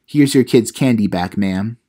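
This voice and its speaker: A man's voice: lowish voice, unhurried, mellow. Guy playing a cocky teen vlogger doing joke tutorial narration for an audio play. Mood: pleading. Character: cheeky self-important teenage vlogger narrator, mock-instructional. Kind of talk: comedic how-to vlog monologue.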